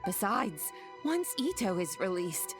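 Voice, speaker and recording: An actress playing a kindly, elderly granny in a video game, fond and reassuring. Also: female voice, mid-range voice, big pitch swings, unhurried. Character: kindly elderly granny who raised a young oni. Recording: game dialogue with music underneath.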